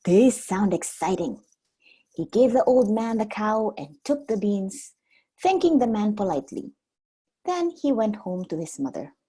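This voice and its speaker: A woman reading a storybook aloud like a preschool teacher, expressive and simply worded for small children. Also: mid-range voice, moderate pace, breathy edge, low-quality recording.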